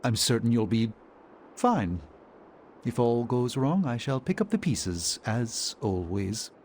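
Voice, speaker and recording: An actor playing a dry, sardonic, protective mentor in a video game, with a deadpan, wordy delivery. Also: male voice, medium pitch, slow, slight background noise. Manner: deadpan, wordy, drawling sarcasm.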